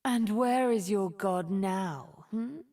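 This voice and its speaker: A woman playing a cunning, haughty immortal sorceress in a video game, with a breathy, husky, deliberate and condescending delivery that often drops to a whisper. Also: medium pitch, darkish tone. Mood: cold, taunting. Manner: theatrical, deliberate, silky condescension. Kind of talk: video game character voice acting. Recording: music behind the voice.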